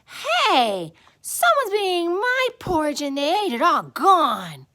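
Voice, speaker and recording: Woman doing a whiny, high little baby bear. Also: wildly swooping pitch, slow pace, pushed and bright, heavily acted. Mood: indignant. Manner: whiny, exclaiming. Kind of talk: children's storybook character voice.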